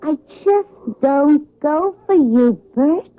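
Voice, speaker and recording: High-voiced, sharp-tongued woman, tinny radio. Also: animated, drawn-out words, full character voice. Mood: scornful. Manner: curt, sarcastic. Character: blunt, scornful single working woman fending off a suitor. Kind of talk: 1970s radio drama acting. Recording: tinny narrow-band radio recording.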